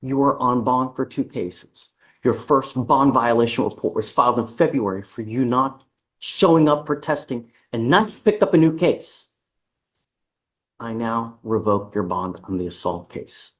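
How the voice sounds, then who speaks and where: An American man presiding as a judge in court. His voice is mid-pitched and noticeably husky. He lays down orders in a stern, blunt, emphatic way. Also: unhurried, fairly bright tone. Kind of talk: courtroom proceedings from the bench.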